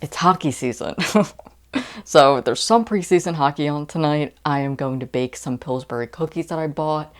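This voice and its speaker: An American woman chatting casually and enthusiastically to camera as a book vlogger. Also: sits low. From United States.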